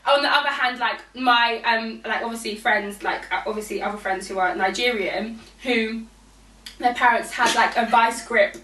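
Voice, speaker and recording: Woman, young adult, chatty, higher pitch, distant mic. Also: moderate pace, bright, forceful tone, reverberant. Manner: chatty, storytelling with fillers. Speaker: podcast co-host. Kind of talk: casual chat.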